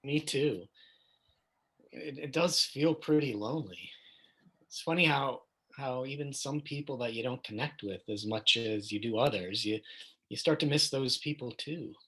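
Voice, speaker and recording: A man's voice: medium pitch, unhurried, a bit bright, slightly rough. A man playing a plain-spoken, lonely school staff member in a casual documentary play. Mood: wistful. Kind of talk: casual documentary theater monologue.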